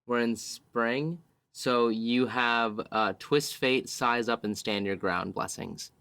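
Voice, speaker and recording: A man's voice: mid-range voice, slow, sharp tone, no echo. A man playing in a tabletop roleplaying podcast, improvising narration of his character's actions. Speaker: player in an actual-play podcast.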